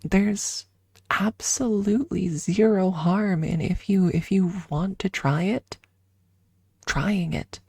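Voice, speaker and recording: A woman streaming ASMR, chatting casually and haltingly in a soft, breathy voice that often drops into a whisper, close to the microphone. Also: medium pitch, dry recording. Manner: halting, informal, drifting between whisper and soft talk.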